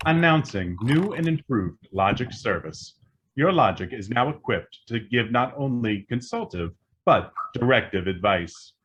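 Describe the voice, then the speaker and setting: An actor playing a calm talking computer appliance, formal and impersonal like an announcer, in a radio drama. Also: male voice, medium pitch, a little slow, slight background noise, low-quality recording. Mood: impersonal, matter-of-fact. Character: calm male talking computer appliance giving deadly advice.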